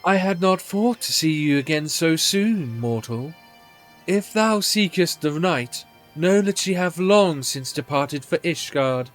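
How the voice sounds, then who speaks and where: A man performs a regal, ancient dragon from a fantasy game. The speech is solemn, stately and archaic, pitched fairly high, with music behind it. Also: animated, a little slow. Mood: haughty.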